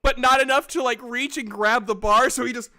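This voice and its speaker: A woman improvising casual narration as a tabletop game master, haltingly restarting her descriptions. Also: a little high, fairly even intonation, bright, forceful tone.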